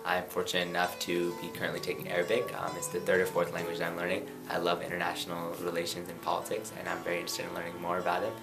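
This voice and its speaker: A young man in his twenties from Pakistan, a student candidate giving an earnest campaign pitch and then answering light interview questions. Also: monotone, vocal fry, over music. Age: 20s. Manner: earnest, rapid and persuasive.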